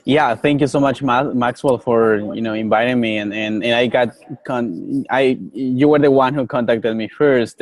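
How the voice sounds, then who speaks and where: A man's voice: medium pitch, no echo, poor audio. An earnest young man from Colombia, with Nasa as his first language, talks as a guest in a casual interview. He often repeats words as he searches for the next one. His mid-range voice sounds dry and close to the mic. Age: young adult. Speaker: guest, coffee business owner.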